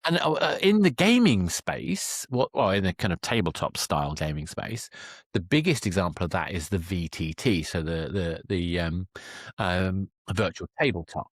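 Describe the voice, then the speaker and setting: A man's voice: deep voice, expressive, normal speed, no echo, studio quality. A man hosting a podcast interview, thinking aloud in an analytical, conversational way. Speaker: podcast host.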